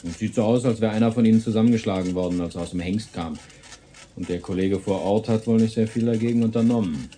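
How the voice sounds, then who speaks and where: An actor playing a blunt, casual police detective in a naturalistic radio drama, speaking colloquially. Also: male voice, on the low side, quick pace, mellow, a little hiss or hum, lightly acted. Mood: matter-of-fact.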